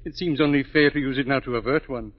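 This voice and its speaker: A man acts a genial old-world maestro in a 1940s radio play, courtly and musing. The voice sits at a middle male pitch, with long reverb on a dull vintage recording. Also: fast, close to an everyday voice. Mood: gracious, musing. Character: genial, courteous great composer, amused by detective work.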